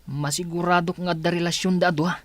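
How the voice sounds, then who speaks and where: Suspicious grown child played by an actress, dry sound. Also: female voice, on the low side, flat delivery, quick pace, dry recording, close to an everyday voice. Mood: insistent, suspicious. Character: suspicious, insistent grown child confiding in mother. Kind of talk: Filipino radio drama acting.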